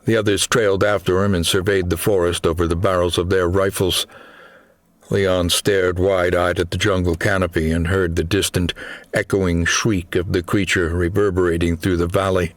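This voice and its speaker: A man with a low voice narrates a suspenseful action story. His delivery is deliberate and dramatic, like a scripted thriller audiobook. The sound is dry, with hardly any room in it. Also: level tone, dry recording.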